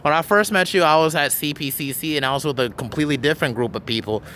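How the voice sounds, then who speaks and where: Young man, podcast host, rapid delivery, earnest casual chat. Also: fairly high pitch, bright, forceful tone, slight background noise. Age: 20s. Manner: talkative, earnest, quick storytelling.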